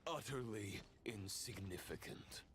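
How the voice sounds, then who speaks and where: Game role: ancient spectral knight , male actor. Lowish register, very slow, grave and scornful, archaic oratory. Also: background noise, close to an everyday voice. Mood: solemn, scornful. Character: solemn, scornful ancient knight spirit, foreboding. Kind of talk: dramatic game voice acting.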